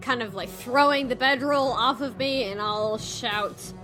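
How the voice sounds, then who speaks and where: A woman playing a tabletop game, chatting casually and thinking her moves through aloud in a deliberate way. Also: on the high side, bright, forceful tone, poor audio. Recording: music behind the voice. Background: game soundtrack music.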